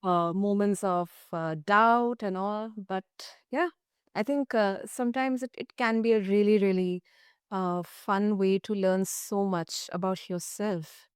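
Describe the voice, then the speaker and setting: Smooth, clear-voiced woman hosting a podcast. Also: medium pitch, fairly even intonation, measured pace, dry recording, clean studio sound. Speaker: podcast host. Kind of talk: conversational interview hosting.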